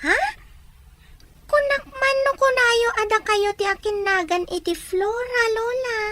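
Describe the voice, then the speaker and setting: A woman's voice: extremely high pitch, measured pace, sharp tone, no echo, exaggerated voice. A woman voicing a caring, curious little girl who asks questions in a childlike way, in an audio drama. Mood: patient, puzzled. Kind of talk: amateur audio drama acting.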